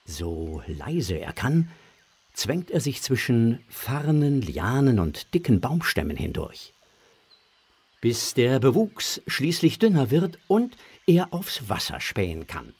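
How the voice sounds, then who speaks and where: A man narrating a children's adventure story from a script in a suspenseful, measured way. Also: medium pitch, big pitch swings, a little mellow, breathy edge.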